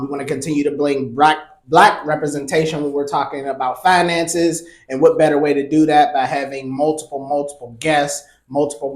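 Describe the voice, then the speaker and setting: A man hosting a podcast in a casual, chatty way, often pausing with "um" and repeating words. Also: mid-range voice, reverberant. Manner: relaxed, chatty, many ums and repeated words. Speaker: podcast host.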